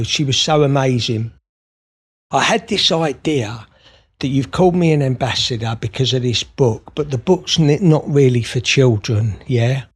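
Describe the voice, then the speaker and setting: Male podcast guest, mid-pitched, open and meandering, stop-start delivery. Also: slightly rough. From Scotland. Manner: rambling, open, many fillers and restarts. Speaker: podcast guest, therapist and author. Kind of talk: conversational interview.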